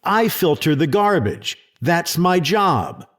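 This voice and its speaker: A man's voice: on the high side, big pitch swings, slow pace, a bit bright, heavily acted. A man playing a defensive, sarcastic presidential secretary in a sci-fi audio drama, arguing sceptically. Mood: dismissive. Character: combative, skeptical presidential secretary guarding his turf.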